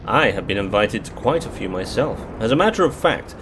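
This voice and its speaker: A man playing a pompous, bookish gentleman scholar in an audio drama, with a pedantic, formal, long-winded manner. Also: lowish voice, very animated, brisk, background noise. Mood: pedantic, delighted. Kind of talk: full-cast audio drama acting.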